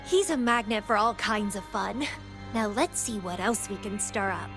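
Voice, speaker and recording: A woman's voice: mid-range voice, expressive, normal speed, music in the background, natural voice. A woman voicing a playful, thrill-seeking young female warrior in a video game, wistful and possessive, with a teasing, theatrical delivery. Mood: playful, amused. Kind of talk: scripted video game character acting.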